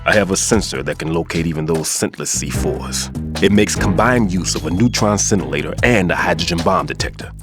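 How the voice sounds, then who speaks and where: A man playing a grave, remorseful middle-aged bomb disposal veteran in a video game cutscene, speaking in a solemn, deliberate way. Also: sits low, lightly acted. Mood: grave, technical. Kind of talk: dramatic game cutscene acting. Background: background music.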